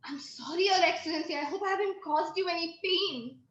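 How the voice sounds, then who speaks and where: A woman's voice: very high-pitched, brisk, fairly bright tone, lo-fi sound. A woman playing a pushy, self-pitying petitioner in a comic play, insistent, plaintive and melodramatic. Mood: wounded, pleading. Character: pushy, wailing, self-pitying wife petitioning officials, relentlessly stubborn. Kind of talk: comic stage-play acting. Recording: roomy, band-limited recording.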